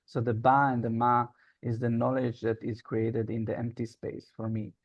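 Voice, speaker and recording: Man being interviewed, thoughtful, lots of pauses, muffled call audio. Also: medium pitch, little pitch movement, no echo. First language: Hebrew. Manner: reflective, searching for words with frequent pauses. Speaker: guest, social arts facilitator and founder. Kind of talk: casual interview conversation.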